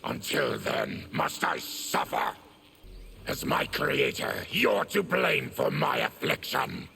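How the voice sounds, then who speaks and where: An actor playing a bitter, suffering mutant warrior in a video game, with a guttural, gravelly voice and solemn, deliberate, formal delivery. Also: male voice, mid-range voice, expressive, pushed and bright, a little hiss or hum, full character voice. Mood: bitter, accusing. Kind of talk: dramatic video game acting.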